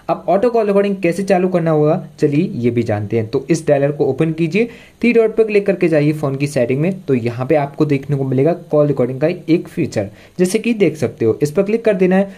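A man's voice: fairly high pitch, expressive, brisk, dark tone. A man hosting a tech tutorial, explaining step by step in repetitive stock phrases.

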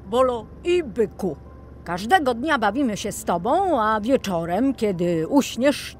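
A woman's voice: medium pitch, close to an everyday voice. A woman voicing an earnest, friendly talking toy fire truck in a children's audio tale.

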